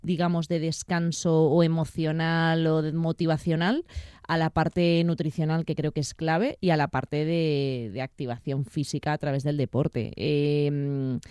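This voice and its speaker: A woman from Madrid, a personal trainer and former elite athlete, speaking as a podcast guest and explaining eagerly in quick bursts. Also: low voice, flat delivery, dark tone, clean tone, no room sound, clean studio sound. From Madrid, Spain. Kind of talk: casual interview.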